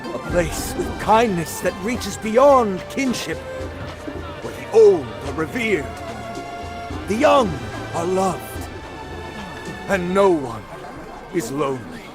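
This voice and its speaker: An actor playing a grand, teasing teahouse storyteller in a video game. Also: male voice, high voice, very animated, slow pace, pauses often, heavily acted. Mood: grand, wistful. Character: theatrical male teahouse storyteller spinning legends. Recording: loud music behind the voice. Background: loud music.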